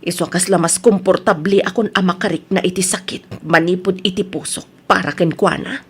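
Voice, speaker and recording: A woman acting a hurt, sharp-tongued grown daughter who holds a grudge, in an Ilocano radio drama. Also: on the low side, steady tone, normal speed, breathy edge. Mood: hurt, subdued.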